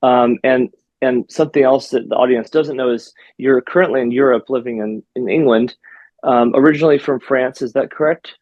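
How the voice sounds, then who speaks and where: A man hosting a podcast in a friendly, chatty way, with lots of ums and small restarts. His voice sits at an ordinary middle pitch, recorded close and dry with no room sound. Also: fairly even intonation, brisk, no echo, lo-fi sound. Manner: friendly, hesitant with fillers. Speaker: podcast host, physical therapist. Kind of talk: casual interview podcast.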